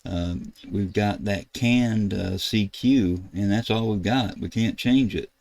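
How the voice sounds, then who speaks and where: An older man, giving a casual, halting screen tutorial as a ham radio video host. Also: on the low side, gravelly, a little mellow, dry recording. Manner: halting, rambling explanation. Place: indoors at a computer desk.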